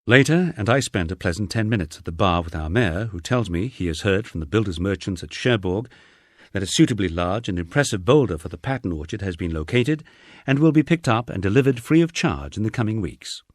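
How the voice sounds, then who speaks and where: A man's voice: on the low side, expressive, brisk, no room sound, clean studio sound. A man reading a humorous memoir as scripted audiobook narration, with a wry storytelling manner.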